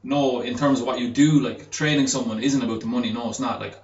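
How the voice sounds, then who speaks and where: A man's voice: medium pitch, fairly even intonation, fast, a little mellow. A man in his twenties from Ireland, a gym owner and personal trainer talking on a podcast, rambling with many fillers and restarts. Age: 20s. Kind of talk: casual podcast chat. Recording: roomy, dull sound with faint ambience.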